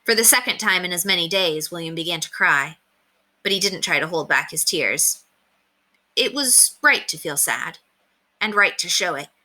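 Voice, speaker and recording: A woman reading a children's book aloud as an expressive storyteller. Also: lowish voice, normal speed.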